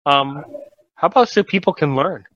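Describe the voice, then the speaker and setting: A man's voice: fairly high pitch, moderate pace, a bit bright, dry recording, lo-fi sound. A man hosting a casual podcast talk show, encouraging and rambling, often correcting himself mid-sentence.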